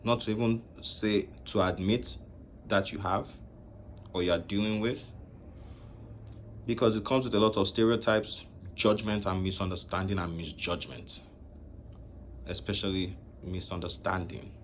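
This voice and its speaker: A young man from Nigeria speaking to camera in a slow, reflective way, pausing often between phrases. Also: fairly low pitch, fairly even intonation, a little hiss or hum. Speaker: vlogger. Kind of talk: personal talk to camera.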